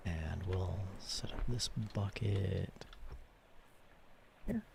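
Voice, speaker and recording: A man's voice: low voice, extremely slow. A man hosting a livestream, chatting casually and reading aloud, rambling and narrating what he does as he goes. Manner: rambling, self-narrating, repeats himself. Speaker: livestream host. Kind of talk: casual gaming livestream chat and story readalong. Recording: clear background noise.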